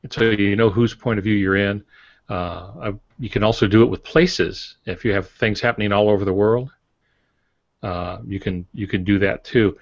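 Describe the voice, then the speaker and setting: An older man co-hosting a writing podcast, explaining in a measured way with hesitant fillers. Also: on the low side, fairly even intonation, no echo. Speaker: podcast co-host. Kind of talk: casual writing craft explanation. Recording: dry, dull lo-fi audio.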